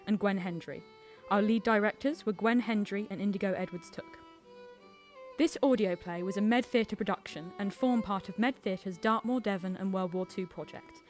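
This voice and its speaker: A woman's voice: mid-range voice, darkish tone. A woman giving a scripted announcement of closing credits. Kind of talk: scripted narration. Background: string music.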